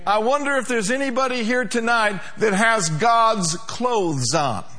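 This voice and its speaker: A man's voice: high voice, measured pace, sharp tone. A male pastor preaching a sermon to a congregation, emphatic, with call-and-response.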